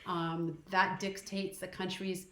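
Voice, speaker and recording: A woman's voice: on the low side, flat delivery, sharp tone, reverberant. A woman in her forties co-hosting a casual live stream, reading viewer questions aloud and answering them in a chatty way. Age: 40s. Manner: chatty, reads comments aloud and answers quickly. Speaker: travel channel co-host.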